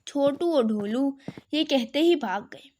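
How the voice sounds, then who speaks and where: Slow, high-pitched woman storyteller. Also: lively intonation, mellow, slight background noise. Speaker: storyteller, narrator. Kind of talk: scripted children's story narration.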